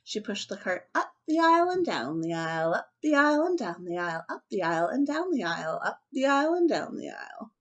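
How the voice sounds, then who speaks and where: Woman, story time host, very animated intonation, expressive, emphatic, casual read-aloud for kids. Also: mid-range voice, fairly quick, few pauses.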